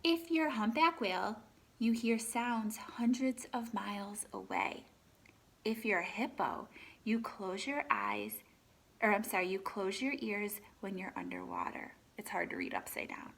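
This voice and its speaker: A woman reads a children's picture book aloud expressively, pausing for chatty asides and questions to her young listeners. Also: mid-range voice, animated, fairly bright tone.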